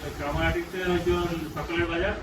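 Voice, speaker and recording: A man's voice: on the high side, fairly even intonation, brisk. A man with a West Bengal accent talking casually on a phone call, giving brief replies and repeating himself. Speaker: dentist. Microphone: picked up from far off by vlogger's device. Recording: distant, echoey, very noisy room recording.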